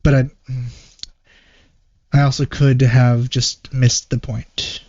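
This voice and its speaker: A man giving casual reaction commentary as a host, hesitant and rambling as he thinks out loud. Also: medium pitch, level tone, takes it slowly, no echo. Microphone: wrong microphone, close and dry. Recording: dull, muffled lo-fi microphone.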